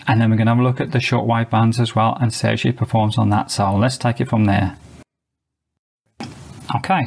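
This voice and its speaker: A man's voice: medium pitch, vocal fry, darkish tone, slightly rough. A man reviewing a small shortwave radio, explaining each feature and step methodically as host of his own channel. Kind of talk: product review walkthrough. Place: indoors.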